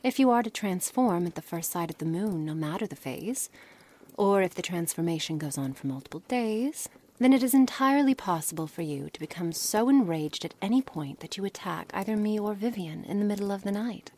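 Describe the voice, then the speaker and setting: A woman playing a coolly menacing, scholarly witch in an ASMR roleplay, speaking softly in formal, measured words with breathy, hushed passages. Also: medium pitch, lively intonation, natural voice. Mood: clinical, musing. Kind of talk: ASMR roleplay dramatic monologue.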